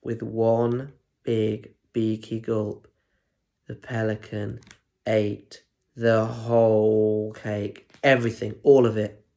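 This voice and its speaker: A man's voice: fairly low pitch, flat delivery, slow pace, creaky, warm tone. A British man in his twenties reading a children's story in a playful way, with chatty asides and questions for young listeners. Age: 20s. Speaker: primary school story reader. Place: plain room at home. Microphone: phone or tablet at arm's length.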